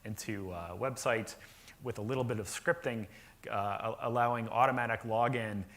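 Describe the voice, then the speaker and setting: A man giving a conference talk about software deployment to a live audience, explaining with frequent fillers and restarts and a naturally loud voice. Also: medium pitch, steady tone, moderate pace. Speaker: conference presenter. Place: conference session room.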